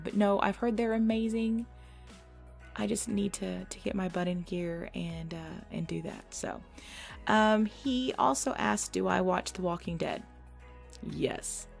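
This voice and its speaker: A woman's voice: fairly low pitch, vocal fry. A young adult woman answering listener questions in a casual, chatty, self-deprecating way. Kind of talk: casual Q&A chat. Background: background music.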